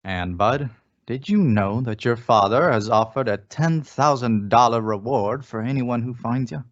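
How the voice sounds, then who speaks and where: A man's voice: medium pitch, measured pace, natural voice. A man playing a folksy, insinuating former small-town police chief in an old-time radio suspense drama, coaxing and persuasive. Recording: dull, lo-fi audio.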